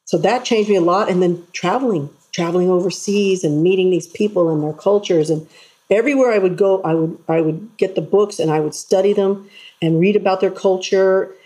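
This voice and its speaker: Woman interview guest, earnest storyteller, husky and warm in tone. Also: medium pitch, a little fast, low-quality recording. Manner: earnest, animated storytelling with acted-out voices. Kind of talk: casual interview chat.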